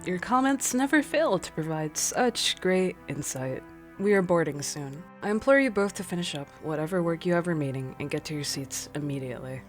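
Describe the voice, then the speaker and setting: Woman as alien starship captain, stern, commanding, lowish pitch, radio drama acting, faint music. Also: lively intonation, normal speed, close to an everyday voice. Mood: commanding, dry. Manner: curt, authoritative.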